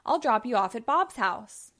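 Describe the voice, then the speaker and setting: A woman voicing a helpful, upbeat male tractor in a children's storybook, calling out in a lively way. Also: fairly high pitch, normal speed.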